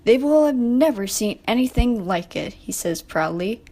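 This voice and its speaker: A woman's voice: a little high, animated, normal speed, warm tone. A woman narrating a scripted children's storybook.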